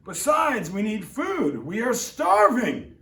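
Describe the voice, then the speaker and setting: A man's voice: fairly high pitch, very animated, slow, voice pushed up. A man voicing a meek, weary turtle who pleads and groans. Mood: pleading. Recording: roomy, distant mic.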